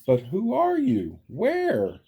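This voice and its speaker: A man's voice: extremely high pitch, wildly swooping pitch, slow pace, vocal fry, warm tone, slightly rough, voice pushed up, exaggerated voice. A man voicing an earnest, kind and protective elephant in a storybook, with emphatic, pleading delivery. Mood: curious, eager.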